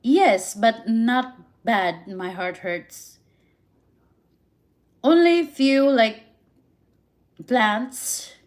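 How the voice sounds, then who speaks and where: A woman with a Filipino accent, whose first languages are Visayan and Tagalog, chatting casually on a live stream and answering viewer comments in halting English with frequent restarts. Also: fairly high pitch, animated. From Philippines. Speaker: livestream host.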